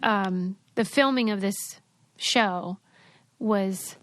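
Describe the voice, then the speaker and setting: A woman's voice: mid-range voice, extremely slow, no room sound. A young woman co-hosting a podcast, telling stories in a chatty, emphatic way. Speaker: podcast co-host. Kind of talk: casual chat with a read ad.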